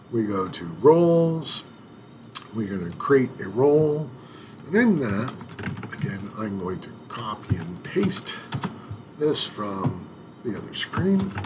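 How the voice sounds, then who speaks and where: A man walking through a software setup on screen in an unhurried tutorial, pausing often with fillers and restarting his phrases. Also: mid-range voice, noisy background. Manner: unhurried, hesitant, many filler sounds and restarts. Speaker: IT administrator demonstrating software setup. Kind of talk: screen-recorded tutorial walkthrough.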